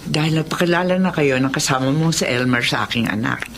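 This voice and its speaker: An actress with a Filipino accent playing a guarded, stern middle-aged housekeeper in a radio horror drama, secretive and admonishing. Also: female voice, low-pitched, brisk, background noise. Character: Aling Leoncia, guarded, stern older female housekeeper.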